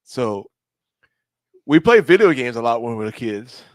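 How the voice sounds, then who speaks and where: A man telling stories casually on a podcast, often halting and searching for his words. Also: medium pitch, sing-song, no room sound. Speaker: podcast co-host. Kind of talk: casual storytelling on a live podcast.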